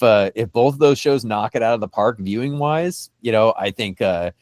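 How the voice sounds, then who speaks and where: A man with a fairly low voice hosts a casual podcast, recorded close and dry. He is enthusiastic and halting, often circling back to repeat a phrase before he moves on. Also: no room sound. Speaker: podcast host.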